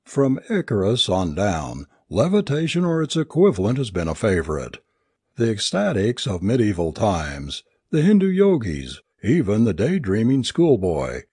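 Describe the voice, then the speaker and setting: A learned male doctor read by a narrator expounds formally in an audiobook story. The voice is animated and rhetorical, with a slightly husky tone and a dry sound. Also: on the low side, dry recording, full character voice.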